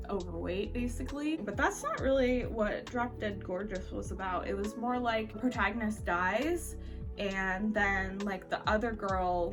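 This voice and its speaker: Woman, casual vlogger, chatty reviewing style, informal phrasing, a bit off-mic, music playing underneath. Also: mid-range voice. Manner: informal, conversational, frequent filler "like". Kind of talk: casual chatty monthly reading wrap-up. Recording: distant mic with music behind. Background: background music.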